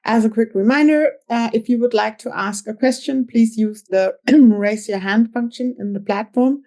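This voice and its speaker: Mellow-voiced woman moderating a call. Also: a little high, moderate pace. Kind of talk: moderating an online investor call.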